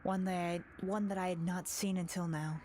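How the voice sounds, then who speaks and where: A woman's voice: fairly low pitch, steady tone, normal speed, darkish tone, slight background noise. A woman reading dramatic first-person narration from a visual novel as a voice-over. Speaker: voice-over reader.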